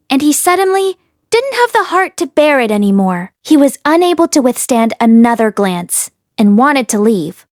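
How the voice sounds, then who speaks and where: A woman narrates an audiobook in a fairly high voice. Her delivery is expressive, scripted storytelling. The sound is dry, with no room echo. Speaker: audiobook reader and host.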